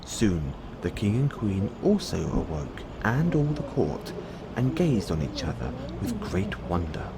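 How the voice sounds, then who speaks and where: A man with a low-pitched voice reads a bedtime fairy tale. His delivery is measured storybook narration, like a story told for someone falling asleep. Clear background noise runs behind the recording. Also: huge pitch swings. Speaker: storyteller playing a boyfriend in audio roleplay.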